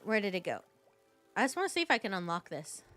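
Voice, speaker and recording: A woman's voice: mid-range voice, sing-song, fast talker, fairly bright tone, faint music behind. A woman giving casual, chatty live commentary as she plays a video game, thinking aloud to her viewers.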